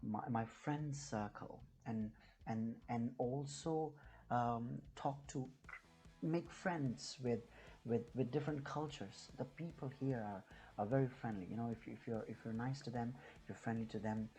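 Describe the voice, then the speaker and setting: A man from New Delhi with an Indian accent and Hindi as his mother tongue, chatting casually and unscripted to viewers, haltingly and often repeating his words. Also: medium pitch, mellow, rough recording. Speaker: YouTube vlogger.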